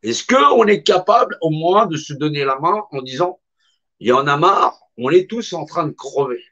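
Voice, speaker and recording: A man's voice: a little high, lively intonation, moderate pace, pushed and bright. A middle-aged man speaks in an impassioned monologue with a slightly raised voice. He is emphatic and colloquial and hammers on repeated phrases. The recording sounds dull and lo-fi.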